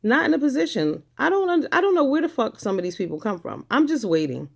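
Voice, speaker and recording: A woman's voice: high-pitched, very animated, fast, gravelly. A woman vlogger ranting to camera, blunt and confrontational, swearing often and hammering points home by repeating them. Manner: blunt, profane, confrontational, repeats phrases for emphasis. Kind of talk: angry ranting monologue to camera.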